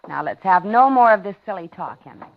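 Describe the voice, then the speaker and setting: An actress playing a polite, lonely older widow in a 1950s radio thriller, talking and narrating in a genteel, dramatic way. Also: female voice, mid-range voice, expressive, a little fast, close to an everyday voice. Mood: genteel, coaxing. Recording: dull 1950s radio recording, music behind.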